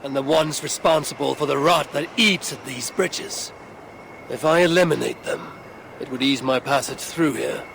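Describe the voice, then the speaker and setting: A resolute warrior adventurer from a Norse fantasy game. His mid-pitched voice has a rough, creaky grain and he speaks formally and seriously, with noticeable ambient noise behind. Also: normal speed, a bit bright. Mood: stern, resolute. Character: stern, determined male warrior adventurer in Norse underworld. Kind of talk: video game character acting.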